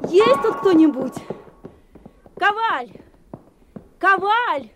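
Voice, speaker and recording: A proud, beautiful young noblewoman in a radio play. Her voice is high and swoops widely, and she speaks slowly in a teasing, high-born way. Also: animated, heavy background noise, exaggerated voice. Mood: lilting. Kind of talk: 1980s radio play acting.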